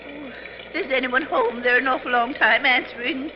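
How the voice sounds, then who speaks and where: A woman playing keen chatty lady sleuth, fluttery highish voice, old radio mystery drama, muffled noisy recording. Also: steady tone, moderate pace, lightly acted. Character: eager mystery-loving lady amateur sleuth. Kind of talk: 1950s radio mystery acting.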